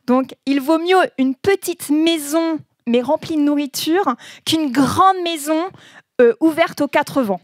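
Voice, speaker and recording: A woman giving a relaxed presentation, reading proverbs aloud and explaining them with frequent laughter. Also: high-pitched, no echo, clean studio sound. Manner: slow, laughing often, says proverbs then explains them. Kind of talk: informal presentation with translations.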